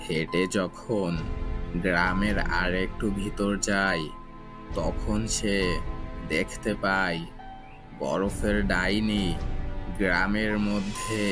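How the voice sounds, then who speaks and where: A man tells a cartoon story as its narrator. His voice sits fairly low and goes very slowly, phrase by phrase, for suspense. Loud music plays behind him. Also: flat delivery. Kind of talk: dramatic scripted story narration. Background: loud background music.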